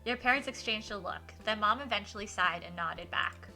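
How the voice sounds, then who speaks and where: A woman livestreaming a game, switching between informal chat with viewers and expressive read-aloud in a giggly, animated manner. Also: mid-range voice, moderate pace, soft background music, rough recording. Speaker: livestreamer hosting a visual novel playthrough.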